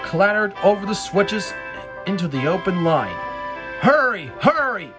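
A man reading a children's story aloud, often haltingly, repeating and correcting phrases. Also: high voice, over music. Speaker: storybook reader.

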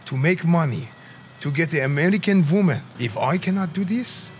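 A man's voice: on the high side, lively intonation, moderate pace, faint music behind, natural voice. A man voicing a pompous, misogynistic Persian immigrant with a Persian accent, broken English and a bossy manner in a live game roleplay. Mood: indignant. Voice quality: broken English, stiff formal phrasing. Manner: bossy, indignant.

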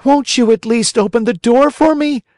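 Excitable high-pitched puppet boy. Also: normal speed, no echo, studio quality. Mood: desperate pleading. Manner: beseeching, exclaiming. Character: desperate young wooden puppet boy, excitable, pleading.

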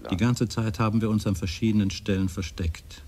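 A man giving a measured voice-over translation of a witness's testimony. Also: on the low side, steady tone, a little mellow, slightly rough.

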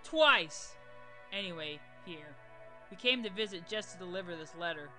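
A woman's voice: on the low side, lively intonation, moderate pace, close to an everyday voice. A woman voicing a sardonic young female demon adventurer with a dry, complaining manner in a motion comic radio play. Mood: exasperated. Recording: music behind the voice.

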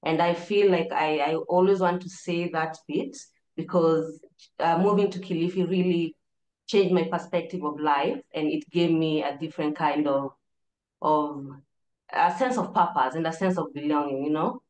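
A Kenyan-accented woman in her thirties with a low voice gives a casual introduction of herself. She is on a video call in an echoing room. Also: moderate pace, darkish tone. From Nairobi, Kenya. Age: 30s.

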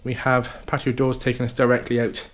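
A man's voice: mid-range voice, monotone, a little fast. A man, an estate agent, giving an upbeat walkthrough tour of a house, full of sales patter and repeated "okay" and "fantastic".

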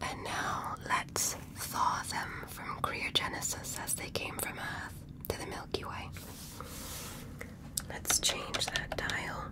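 A woman softly whispering an ASMR medical roleplay as a gentle alien examiner, speaking slowly and reassuringly up close. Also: rough recording.

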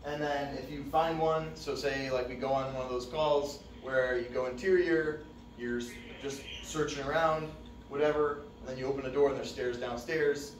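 A man's voice: mid-range voice, moderate pace, slight background noise. Far-off male instructor in echoey room. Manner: relaxed, conversational, many "uh" fillers. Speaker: fire service instructor. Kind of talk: classroom training presentation. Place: dim training room with projector screen. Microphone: far camera. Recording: echoey room, distant mic.

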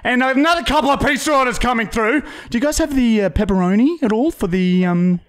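A man's voice: extremely high pitch, a little fast, pitched above the performer's own voice. An Australian man playing a polite, rambling, hesitant female customer on a prank phone order. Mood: dithering, chatty. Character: chatty, dithering female pizza customer on a prank call.

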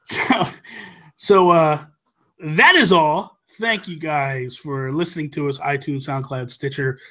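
Casting: male podcast host, thirties, slightly high voice, opinionated and emphatic, repeats points to drive them home. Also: slow pace, pushed and bright. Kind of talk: animated casual chat about games and films. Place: small home room, indoors. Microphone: close desk microphone with headphones.

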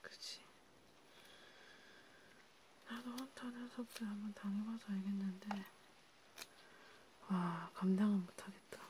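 A woman playing a calm, caring vampire healer in a hushed, casual ASMR role play, sometimes drifting into whispers. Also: mid-range voice, unhurried, long pauses, noisy background, natural voice. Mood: calm, concerned. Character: female vampire healer, calm, caring toward a wounded friend. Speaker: ASMR creator.

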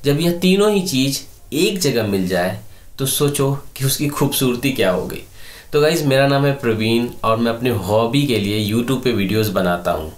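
A man's voice: medium pitch, lively intonation, normal speed. A man in his thirties narrates his travel vlog with eager, storytelling energy, talking directly to the audience. His mid-range voice rings a little in an echoey room at home. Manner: enthusiastic storytelling, addresses viewers. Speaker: travel vlogger.

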